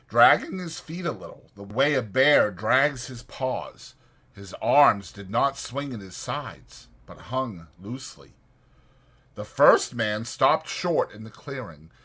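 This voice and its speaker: A man reads literary fiction as an audiobook narrator. His voice is husky and forceful, with deliberate, expressive phrasing and a very lively pitch. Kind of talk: dramatized scripted narration of a literary novel.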